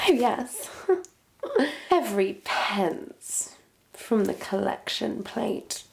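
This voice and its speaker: A woman's voice: on the high side, creaky, a little mellow, full character voice. A woman playing a sly, self-satisfied witch posing as a missionary, in a theatrical, condescending monologue that savors each word to a captive listener. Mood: smug, teasing. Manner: theatrical, condescending, savoring words with long pauses. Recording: roomy echo, faint background noise.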